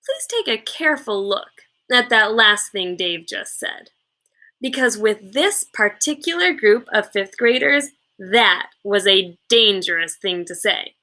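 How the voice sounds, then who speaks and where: A woman reading a children's story aloud in an expressive storytelling style, like a teacher. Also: mid-range voice, unhurried.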